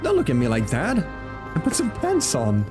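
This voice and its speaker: A man's voice: fairly high pitch, wildly swooping pitch, moderate pace, over music. A man streaming a game with casual, muttered commentary and reactions.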